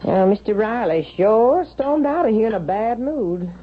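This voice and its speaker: An actress playing a plain-spoken, loyal, sceptical housemaid in vintage radio drama, with a folksy, matter-of-fact way of talking. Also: female voice, fairly high pitch, lively intonation, moderate pace, lightly acted. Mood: folksy, forthright. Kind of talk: 1970s-80s radio drama acting. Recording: dull vintage radio, faint hiss.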